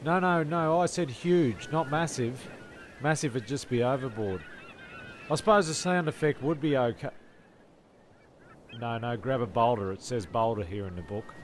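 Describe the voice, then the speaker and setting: A man with a gravelly voice acting out scripted comedy dialogue. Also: mid-range voice, moderate pace, slight background noise. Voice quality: gravelly, creaky.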